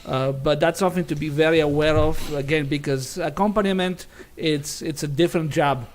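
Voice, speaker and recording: A man, a pianist on a jury panel, giving critique in a halting way with frequent restarts. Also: on the high side, fairly even intonation. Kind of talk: spoken critique.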